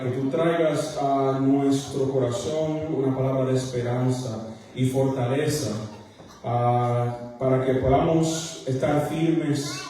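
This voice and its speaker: A man with a Dominican accent preaching a church sermon as a pastor, halting and correcting himself, then pressing his points. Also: mid-range voice, mellow. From Dominican Republic, partly raised in Queens, New York. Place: church sanctuary, speaking to congregation. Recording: very echoey large hall, distant microphone.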